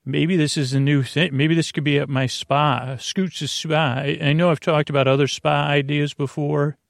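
A man hosting a bedtime podcast in a meandering, halting monologue, often trailing off mid-thought, with a creaky, gravelly voice. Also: fairly high pitch, fairly even intonation, dry recording, studio quality. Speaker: podcast host. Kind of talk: rambling improvised bedtime monologue.

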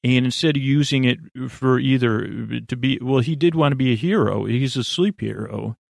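A man hosting a sleep podcast with a creaky, lulling voice, meandering and stammering through asides. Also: mid-range voice, normal speed, dry recording, studio quality. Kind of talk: rambling bedtime monologue.

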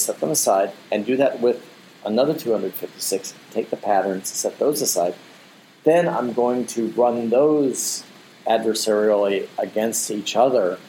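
A man's voice: fairly low pitch, a little mellow, slight background noise, poor audio. A man, a digital artist, speaking as an interview guest in a casual, rambling way, often repeating "you know" and "the thing is.".

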